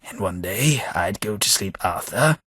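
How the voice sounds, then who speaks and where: Man playing a husky old British ghoul. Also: on the low side, animated, fairly bright tone, no echo, heavily acted. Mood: reflective. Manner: measured, formal speech with dry wit. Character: weary old ghoul man, formal and wry. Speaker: game character. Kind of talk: video game voice acting. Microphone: close studio mic.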